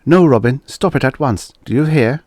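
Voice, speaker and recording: Man voicing an anguished mother, pitched up high. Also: normal speed, a little mellow, no room sound, clean studio sound, lightly acted. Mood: sharp, stern. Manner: anguished, urgent exclamations. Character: distraught mother near forty, anguished and stern.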